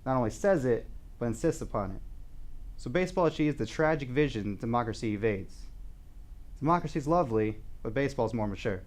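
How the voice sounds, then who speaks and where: A man's voice: medium pitch, animated, brisk, natural voice. A man performing a dramatic monologue as an earnest, philosophical sports devotee, reflective and rhetorical. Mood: assured.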